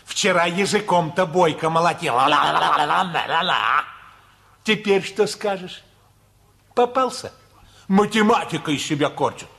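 An actor in a radio play playing a rude, jealous, hot-tempered middle-aged uncle who talks in coarse, taunting jibes. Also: male voice, fairly high pitch, animated, unhurried, creaky, pushed and bright, heavily acted. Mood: menacing, scornful.

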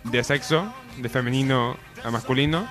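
A man's voice: mid-range voice, moderate pace, fairly bright tone, faint music behind. A man with an accent from Spain, hosting a comedy radio show in casual, bantering style.

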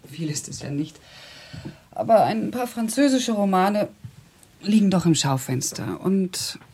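A woman's voice: medium pitch, expressive, unhurried, a little mellow, a little hiss or hum, natural voice. An actress playing an educated young Russian woman who recalls events reflectively and precisely in a radio drama. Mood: wry. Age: young adult. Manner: reflective, precise, descriptive storytelling. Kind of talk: radio drama monologue as recollection.

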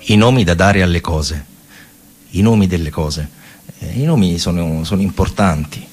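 A man performing a theatrical narrative monologue, conversational, with deliberate repetitions and pauses. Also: deep voice, over music. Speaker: stage actor and storyteller.

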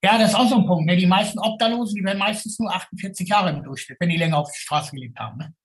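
A man's voice: sits high, racing. A man talking casually and colloquially about his own experience. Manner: colloquial, plain-spoken. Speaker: formerly homeless city tour guide. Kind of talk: casual spoken testimony.

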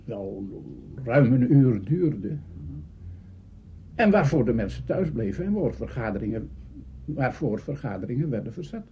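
An older man reminiscing, halting as he searches his memory. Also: mid-range voice, sing-song, mellow. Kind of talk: reminiscing talk. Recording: dull, faintly noisy archive recording.

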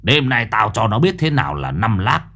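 A man doing a gruff, cocky ghost brawler. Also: fairly low pitch, sing-song, moderate pace, bright, forceful tone, full character voice. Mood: gruff resolve. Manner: gruff, brusque, clipped. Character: gruff tough middle-aged man, cocky ghost fighter.

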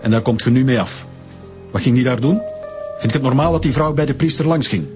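An actor with a Flemish accent playing a stern, demanding police chief in a radio drama, direct and insistent. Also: male voice, medium pitch, quick pace, over music, close to an everyday voice. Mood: pressing, stern.

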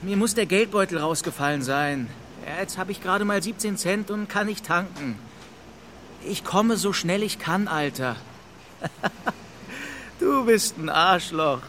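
An actor playing a cocky, foul-mouthed young biker with slangy, casual speech in an audio drama. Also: male voice, high voice, measured pace, background noise. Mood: annoyed. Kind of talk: naturalistic radio drama dialogue.

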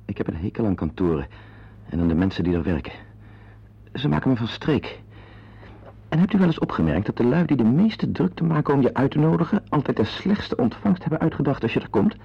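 An actor playing a self-important, opinionated husband in a radio drama, confiding in listeners in a wordy way and hesitant with strangers. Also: male voice, mid-range voice, big pitch swings, fairly quick, creaky, husky, full character voice. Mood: irritated. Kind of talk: radio drama acting with narrated asides. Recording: dull, lo-fi old radio play recording.

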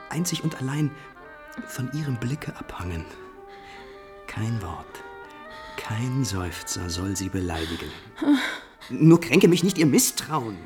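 A man's voice: medium pitch, natural voice. Man playing an ardent, theatrical prince. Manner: florid, pleading, declamatory. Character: ardent, courtly, commanding prince wooing a young lady. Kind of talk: mid-century German radio drama acting.

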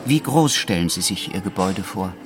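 A man's voice: sits low, expressive, fairly quick, over music. An actor playing an efficient, polite fixer in a radio drama, attentive and businesslike as he questions a client. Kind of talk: modern radio drama acting.

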